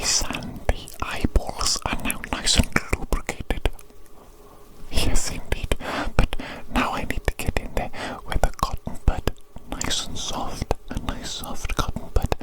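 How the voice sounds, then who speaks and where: Whispering woman in slow ASMR roleplay. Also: rough recording. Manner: soothing, repeats phrases softly. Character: doting evil doctor tending their monstrous creation. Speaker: ASMR performer.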